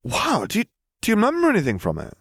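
Man voicing an excitable boy of eleven, stumbling questions, high pitch, storybook. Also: fast, husky, heavily acted. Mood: eager. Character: eager eleven-year-old boy, excitable and awestruck.

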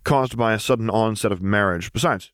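A man's voice: fairly low pitch, a little fast, a bit bright, no echo, clean studio sound, natural voice. A man voicing a mischievous Jedi master with a breezy, teasing, mock-innocent farm-boy manner in an audiobook story. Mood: sly.

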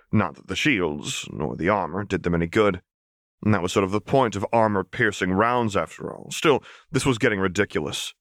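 A man reading a story as an audiobook narrator, expressive and wry in his storytelling. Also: sits low, no echo, studio quality. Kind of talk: expressive scripted fiction narration.

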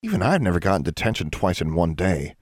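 A man's voice: low voice, very animated, quick pace, a little mellow, no room sound, clean studio sound. Man reading as blunt, defiant American schoolgirl. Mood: disgusted.

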